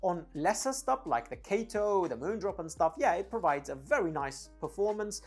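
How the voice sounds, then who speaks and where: A man's voice: high voice, very animated, moderate pace, breathy edge. A man in his thirties giving a conversational, opinionated product review to camera, speaking emphatically into a clip-on mic. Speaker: audio gear reviewer. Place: dim home studio room, indoors. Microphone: clip-on lavalier mic on the shirt. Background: faint background music.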